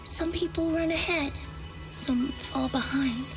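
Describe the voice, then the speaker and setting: A woman voicing an earnest, emotional young girl pilot in a video game, with a girlish voice and drawn-out, emotive delivery. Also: music in the background. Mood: wistful. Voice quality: high, girlish. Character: cheerful, emotional young girl pilot missing her senior.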